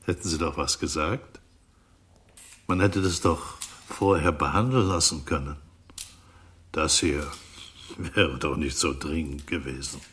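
A man acting in a German radio drama as a formal official, ceremonious and unctuously polite in a measured way. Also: very deep voice, a bit bright, breathy edge, full character voice. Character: smooth-talking, formal official thanking with grand courtesy.

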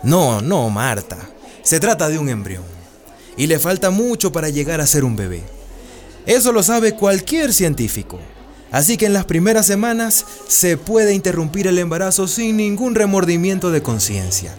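A man's voice: high-pitched, big pitch swings, normal speed, darkish tone, faint music behind. A man playing a frank, caring family doctor in a radio drama, explaining things earnestly and firmly. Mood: firm, explanatory.

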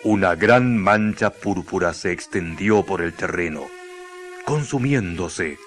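Chilean male narrator for radio drama: lowish voice, solemn literary storytelling, light music underneath.

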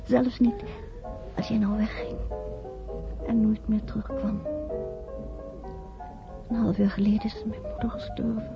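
A woman's voice: mid-range voice, flat delivery, unhurried, pauses often, lightly acted. An actress playing a lovestruck, yearning woman of twenty-seven in a romantic radio play. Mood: dreamy, yearning. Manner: yearning, rapt. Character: dreamy, lovestruck 27-year-old variety dancer. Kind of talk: romantic radio drama acting. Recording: dull old radio recording, music behind. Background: loud music.

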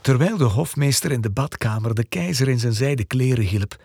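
A narrator telling a scripted children's story in an expressive storytelling style. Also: medium pitch, brisk, breathy edge. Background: music.

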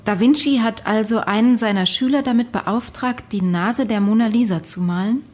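An actress playing a sceptical questioner in a conversational radio drama, matter-of-fact and probing. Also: female voice, a little high, moderate pace, darkish tone, soft background music, lightly acted. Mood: sceptical, curious. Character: probing, sceptical female questioner hearing an incredible account.

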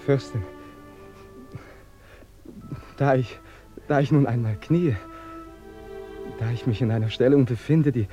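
A man performs a young nobleman devoted to his lady in an old-style radio play. His voice is mellow and rounded, his courtly words halting and reverent. Music plays faintly behind him. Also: medium pitch, muffled recording, close to an everyday voice. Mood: pleading. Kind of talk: classic German radio drama acting.

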